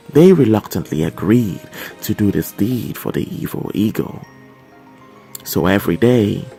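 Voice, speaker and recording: A man reading a dramatized story as scripted narration, with deliberate, measured and expressive phrasing. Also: medium pitch, gravelly.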